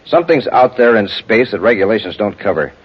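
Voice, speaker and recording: Husky, troubled space lieutenant. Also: male voice, on the low side, animated, a little fast. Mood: respectful, uneasy. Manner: formal, hesitant. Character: troubled, respectful male space patrol lieutenant, defending himself earnestly. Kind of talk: 1950s radio drama acting. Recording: dull old radio recording.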